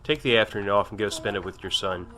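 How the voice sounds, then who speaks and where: A man's voice: on the low side, quick pace, bright, forceful tone. A man playing a loyal, frank and kindly military right-hand man in an audio drama.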